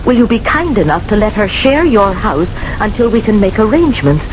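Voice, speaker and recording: An actress playing a lonely, gentle, nervous old lady in a radio drama, with a hesitant, fluttery delivery. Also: mid-range voice, sing-song, a little fast, over music, lightly acted, poor audio. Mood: flustered, wondering. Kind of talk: 1970s radio drama acting.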